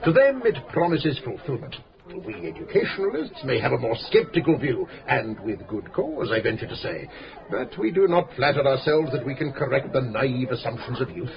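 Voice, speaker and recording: An actor playing a pompous, long-winded English school headmaster in a radio drama, speaking in formal, pedantic, hesitant phrases. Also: male voice, medium pitch, sing-song, normal speed, creaky, poor audio. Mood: pompous, measured. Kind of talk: British radio drama acting. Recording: radio play with busy pub chatter.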